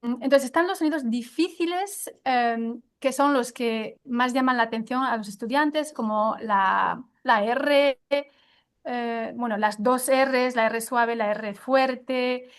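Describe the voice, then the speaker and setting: A woman with a high voice answers interview questions about teaching pronunciation, in a relaxed conversational way. She hesitates often, filling gaps with "eh" and restarting her sentences as she thinks aloud. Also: takes it slowly, clean tone. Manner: hesitant, many fillers and restarts.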